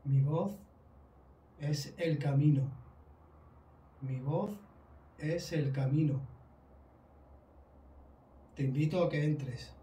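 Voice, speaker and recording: A man summoning spirits in slow, solemn, repeated short phrases. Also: on the high side, warm tone. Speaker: paranormal investigator. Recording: echoey room, distant mic, faint noise.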